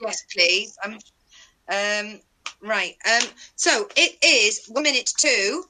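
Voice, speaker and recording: Very lively, bright-voiced woman hosting. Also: medium pitch, slow, a little hiss or hum, poor audio. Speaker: podcast host. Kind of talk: casual chat.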